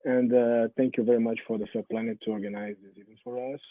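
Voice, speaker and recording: A man from Brazil, a guest artist on a video-call panel, speaking haltingly with many fillers and restarts. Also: medium pitch, flat delivery, no room sound. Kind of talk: panel discussion. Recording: muffled phone-like line, dry.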